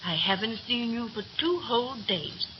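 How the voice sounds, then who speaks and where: An actress playing a clinging, coaxing young sweetheart in a theatrical 1930s radio melodrama. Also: female voice, fairly high pitch, animated, normal speed, lightly acted. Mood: coaxing, fond. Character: clinging, pleading young sweetheart of a ship's officer. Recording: muffled, noisy 1930s radio recording.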